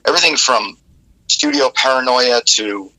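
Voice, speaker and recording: A man, a rock vocalist, telling studio stories in a candid, animated way in an interview, with casual swearing. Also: mid-range voice, normal speed, low-quality recording.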